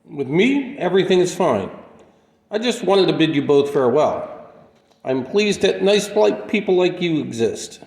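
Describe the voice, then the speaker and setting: An actor playing a polite, soft-spoken older gentleman with a gentle, formal manner in a radio play. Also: on the high side, very animated, normal speed. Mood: polite, kindly. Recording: echoey room with long reverb.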